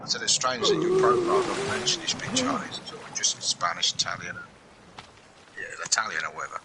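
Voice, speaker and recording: A man's voice: extremely high pitch, wildly swooping pitch, fairly quick, very noisy. A man in his forties telling stories in a casual chat while gaming, swearing freely and muttering asides to himself. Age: 40s.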